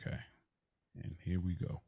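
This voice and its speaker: A man's voice: moderate pace, mellow, no echo, lo-fi sound. A man co-hosting a podcast, talking casually with informal, slangy phrasing. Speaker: podcast co-host. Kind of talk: casual conversational podcast chat.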